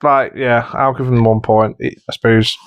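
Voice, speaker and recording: A man's voice: mid-range voice, flat delivery, normal speed, smooth, no room sound, lo-fi sound. A man chats casually as a podcast host who judges contestants. He thinks aloud and hesitates as he goes. The sound is very dry, with a mid-range voice. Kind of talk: casual banter.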